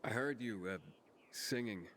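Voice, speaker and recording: An actor voicing a young, guarded wanderer who stammers at times, in video game dialogue. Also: male voice, mid-range voice, a little slow, fairly bright tone, a little hiss or hum, lightly acted. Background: music.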